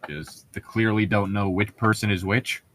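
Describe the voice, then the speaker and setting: A man's voice: lowish voice, normal speed, fairly bright tone. A man hosting a casual, joking online chat, opinionated and swearing freely. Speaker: host of an online channel.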